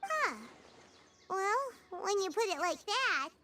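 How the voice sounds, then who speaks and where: A woman playing a small, excitable, childlike fairy companion in an anime fantasy game, squeaky and given to exclaiming. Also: slow, noisy background, heavily acted. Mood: puzzled, doubtful. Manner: exclamatory, speaks of self in third person.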